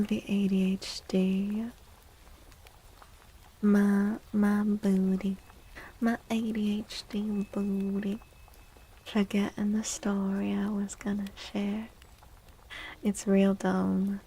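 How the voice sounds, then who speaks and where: A woman livestreamer chatting in a soft, breathy ASMR style, gentle and unhurried, often dropping into whispers close to the microphone. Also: medium pitch.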